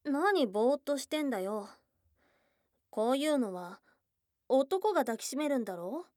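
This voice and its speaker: A woman voicing a shy young goblin girlfriend in an intimate ASMR voice drama, blunt and tomboyish in wording but flustered and tender, with breathy murmurs close to the mic. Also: high voice, lively intonation, normal speed, a little mellow, no echo. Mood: bashful, tender. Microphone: close ASMR microphone.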